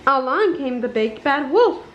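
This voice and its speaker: A woman reading a children's picture book aloud with an expressive, deliberate storytelling delivery in an indoor room. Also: high-pitched. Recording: roomy, dull home recording with noise.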